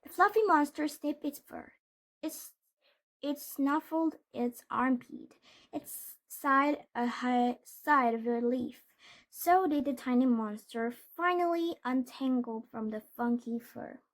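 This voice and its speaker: High-voiced woman reading slowly. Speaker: storybook reader. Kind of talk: read-aloud of a children's storybook.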